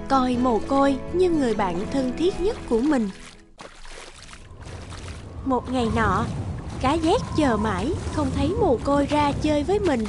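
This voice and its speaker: A woman reading scripted narration for a children's fairy tale. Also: high voice.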